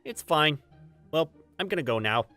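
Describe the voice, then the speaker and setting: A man's voice: fairly high pitch, expressive, moderate pace, sharp tone, soft background music. A man playing an aloof, blunt but kind teenage boy gamer in a manga dub, with an offhand delivery. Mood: bashful. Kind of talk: manga dub acting with scripted first-person narration.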